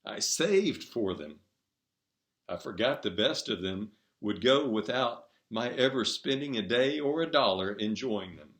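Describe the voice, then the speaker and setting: A man's voice: on the low side, lively intonation, measured pace, a bit bright. A man voicing a regretful, thrifty elderly woman in a storybook reading, wistful and subdued.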